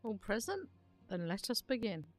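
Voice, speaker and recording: A woman voicing a stern military commander, reading fantasy game briefing dialogue in a formal, deliberate way. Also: mid-range voice, very animated, voice pushed up, close to an everyday voice. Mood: stern, instructive. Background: background music.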